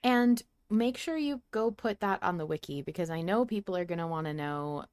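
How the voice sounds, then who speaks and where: A woman's voice: on the low side, expressive, normal speed, darkish tone, clean tone, dry recording, studio quality. A woman hosting a podcast in casual conversation, talking in a chatty, playfully enthusiastic way. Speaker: podcast host.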